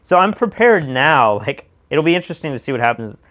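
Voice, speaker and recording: Emphatic, slightly raspy American man. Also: a little high, very animated, fairly quick, a bit bright. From United States. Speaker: show co-host. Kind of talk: casual opinionated discussion.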